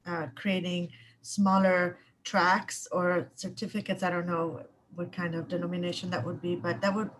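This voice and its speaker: A woman's voice: fairly low pitch, little pitch movement, moderate pace, a little hiss or hum. A woman giving hesitant, unscripted comments on a video call, with many fillers.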